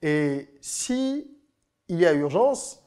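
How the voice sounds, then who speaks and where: A podcast host in casual chat, asking questions and affirming the guest in a measured way. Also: mid-range voice, very animated, warm tone, clean tone.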